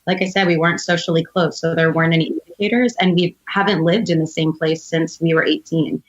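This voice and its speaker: American woman in her 30s, low voice, chatting on a podcast, kinda dull lo-fi sound. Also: steady tone, fairly quick. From United States, outside Philadelphia. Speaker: podcast guest, entrepreneur. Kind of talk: conversational interview storytelling.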